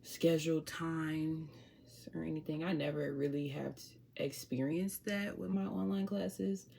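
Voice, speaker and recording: A woman's voice: deep voice, steady tone, a little slow, creaky, dark tone, a little hiss or hum. A young woman from Chicago with a Chicago accent, chatting casually and laid-back to her viewers at home. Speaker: vlogger. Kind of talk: casual chat to camera.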